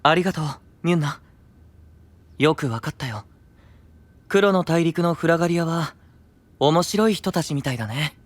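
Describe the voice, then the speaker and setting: A man playing a gentle-spoken, idealistic, enigmatic young knight leader in an anime-style voice drama, soft and composed. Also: fairly high pitch, animated, moderate pace, pauses often, no room sound, close to an everyday voice.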